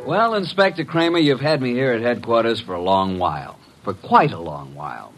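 An actor playing a wisecracking, flippant detective's assistant with deadpan cheek, in old-time radio drama style. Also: male voice, mid-range voice, normal speed, over music, close to an everyday voice, rough recording. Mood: cheeky.

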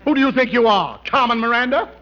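A man's voice: extremely high pitch, lively intonation, quick pace, bright, forceful tone, exaggerated voice. A man playing a fussy, pompous older uncle in a 1940s radio comedy, indignant and stuffy. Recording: dull old radio recording with music.

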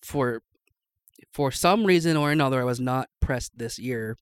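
A man's voice: mid-range voice, normal speed, clear, resonant tone, dry recording. Rambling man telling stories, dry sound. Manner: rambling, self-interrupting storyteller with restarts. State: recovering from lost voice. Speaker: podcast guest. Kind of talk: casual chat.

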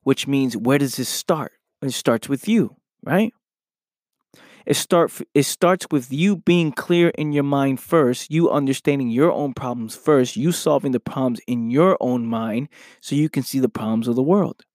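Slightly hoarse man, motivational podcast host. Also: medium pitch, unhurried, darkish tone, dry recording, clean studio sound. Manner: earnest, repeats "right?" often. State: slightly hoarse from losing his voice. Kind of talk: motivational solo podcast talk.

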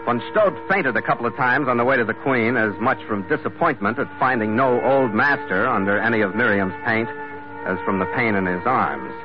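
A man's voice: mid-range voice, a little fast, close to an everyday voice. A man playing a seasoned, wry, easygoing sea captain who narrates a vintage radio drama. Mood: wry narration. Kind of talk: 1940s radio drama, scripted first-person narration. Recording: muffled vintage radio recording, loud music.

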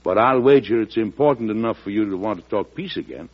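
An actor with an American accent playing a shrewd, genial, avuncular president in an old-time radio drama, in a deliberate storytelling manner. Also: male voice, lowish voice, expressive. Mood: firm, persuasive. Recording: dull lo-fi vintage radio broadcast.

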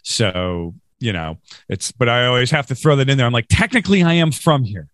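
A man's voice: medium pitch, lively intonation, a little fast, fairly bright tone, no echo, clean studio sound. A man from Western New York, chatting as a podcast guest in a rambling, self-deprecating way with frequent laughs. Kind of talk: casual interview chat.

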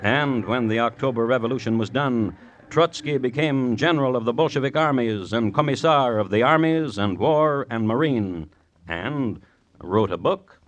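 A man playing a droll crime connoisseur, narrating deliberately as host of an old radio show. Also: mid-range voice, expressive, lightly acted. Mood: dry, deliberate. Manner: dry, deliberate, wry. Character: droll, morbid male crime connoisseur and storyteller. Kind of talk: 1950s radio scripted narration.

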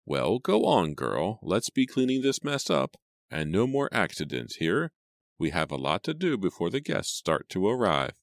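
A man's voice: low-pitched, sing-song, normal speed, dry recording, studio quality, voice pushed up. A man voicing a fretful, scolding old female housekeeper with a bossy, folksy manner in a storybook. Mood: scolding, warning.

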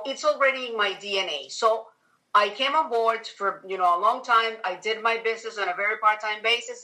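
A woman's voice: medium pitch, sharp tone, rough recording. A middle-aged woman with a Venezuelan accent, a native Spanish speaker, chatting emphatically as an interview guest. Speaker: podcast guest. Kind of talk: conversational personal storytelling in an interview.

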